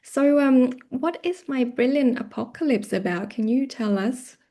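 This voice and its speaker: Mellow-voiced woman hosting an interview. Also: high voice, measured pace. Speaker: interview host. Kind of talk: friendly, informal interview.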